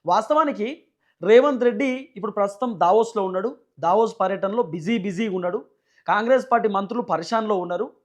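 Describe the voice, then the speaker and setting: High-pitched, fast-talking Telangana man. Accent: Telangana Telugu. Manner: emphatic, repetitive, full of rhetorical questions. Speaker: news channel host and political commentator. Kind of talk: political news commentary to viewers.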